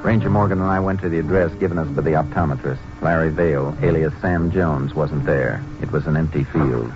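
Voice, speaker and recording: A man's voice: rumbling low, fairly quick. An actor playing a terse, matter-of-fact Texas Ranger lawman in a 1950s radio western crime drama. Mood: measured, matter-of-fact. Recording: muffled old radio broadcast with music.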